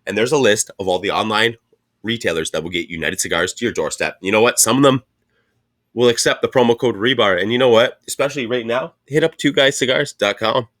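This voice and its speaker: A man in his thirties hosting a podcast, talking loudly and excitedly, with swearing and hype-heavy sponsor reads. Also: fairly quick. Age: 30s. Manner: loud, hyped, swearing, pitching sponsors. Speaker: podcast host. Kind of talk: casual chat.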